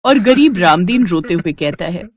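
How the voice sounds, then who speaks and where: A woman's voice: fairly low pitch, animated, moderate pace, no echo, studio quality. Woman narrating a family cartoon story. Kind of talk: storybook narration voicing all characters.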